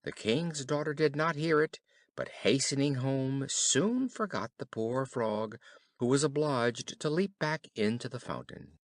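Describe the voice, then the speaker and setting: A man narrating a children's fairy tale in an expressive, careful storytelling style. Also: medium pitch, measured pace, no room sound, clean studio sound. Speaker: audiobook narrator.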